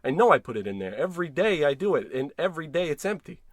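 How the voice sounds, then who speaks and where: An actor playing an excitable, fretful husband, theatrical and folksy, in a 1940s-style radio comedy. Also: male voice, a little high, fast talker, no room sound. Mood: baffled, insistent.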